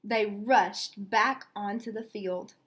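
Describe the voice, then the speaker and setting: A woman teacher reading a story aloud and explaining it in a deliberate, step-by-step way. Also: mid-range voice, fairly even intonation, a bit bright. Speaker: elementary school teacher.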